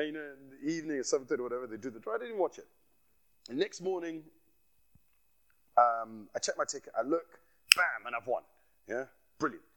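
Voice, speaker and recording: A man from Nigeria delivers a sermon as a guest preacher, with a voice pitched a bit high for a man. He preaches with passion, circles back to repeat his phrases for emphasis and laughs at himself. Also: bright, forceful tone, rough recording. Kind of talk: sermon with personal testimony.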